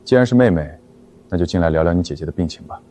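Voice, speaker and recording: An actor playing a cool, domineering young company boss with a deadpan, sarcastic and controlled manner in an animated drama. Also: male voice, low voice, very fast, very noisy. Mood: dry sarcasm. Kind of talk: animated short drama dialogue.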